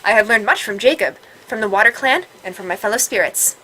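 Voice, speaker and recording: A woman's voice: medium pitch, expressive, fast, pushed and bright, rough recording. A woman voicing a polite, stubborn, literal-minded water spirit AI in a formal, precise way, recorded with some noise. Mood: formal, evasive. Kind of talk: reading visual novel dialogue aloud.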